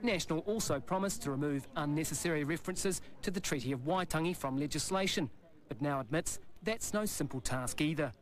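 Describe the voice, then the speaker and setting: A man's voice: on the high side, brisk. A man with a New Zealand accent narrating a scripted television news report.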